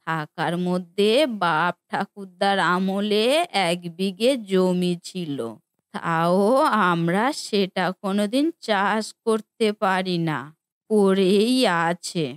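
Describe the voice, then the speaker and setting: A woman's voice: mid-range voice, fairly even intonation, takes it slowly, dry recording, natural voice. Lady acting as cartoon old mom scolding her grown kids, indignant, close dry sound. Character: stern, reproachful elderly mother of grown children. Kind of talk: Bengali cartoon family drama acting.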